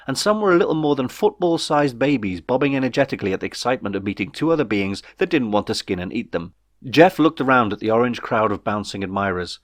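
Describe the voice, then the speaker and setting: Low-voiced man narrating a comic audiobook. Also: no room sound, clean studio sound. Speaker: author reading own novel.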